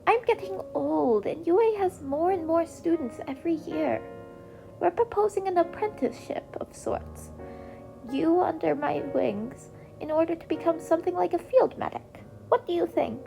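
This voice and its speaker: A woman voicing a kindly old female healer, gentle, unhurried and soothing, in an acted story. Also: extremely high pitch, animated, vocal fry, soft background music, voice pushed up, heavily acted, rough recording. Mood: gentle, earnest.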